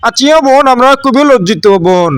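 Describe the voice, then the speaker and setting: A man plays an earnest cartoon news reporter with a Bangladeshi accent. His high voice moves quickly in a solemn, declamatory appeal. The recording is dry. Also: animated, fairly bright tone, clear, resonant tone, no echo. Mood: mournful, indignant. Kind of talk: amateur cartoon news narration.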